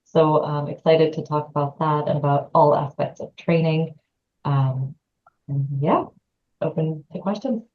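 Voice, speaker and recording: A woman's voice: low-pitched, measured pace, phone-quality sound. A woman from Lebanon, an assistant professor answering interview questions thoughtfully, with hesitant fillers.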